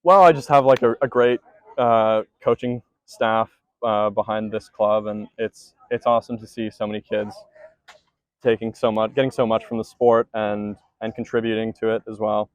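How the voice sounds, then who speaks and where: A man's voice: lowish voice, steady tone, moderate pace, smooth, no echo. A teenage male rower giving a casual post-race interview, talking loosely with many fillers and restarts. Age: teens. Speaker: junior rower.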